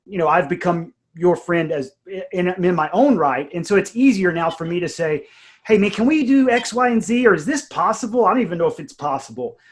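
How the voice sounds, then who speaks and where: A man in his forties chatting casually and enthusiastically about creative work, telling stories and quoting people as he goes. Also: fairly high pitch. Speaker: audio drama writer and producer. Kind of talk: casual behind-the-scenes chat.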